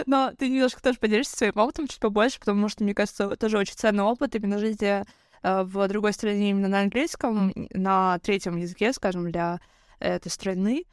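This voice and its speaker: A woman hosting a podcast in a casual chat, chatty and quick to laugh and jump in. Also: mid-range voice, clear, resonant tone, no room sound, studio quality. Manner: chatty, laughs often, jumps in. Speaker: podcast host.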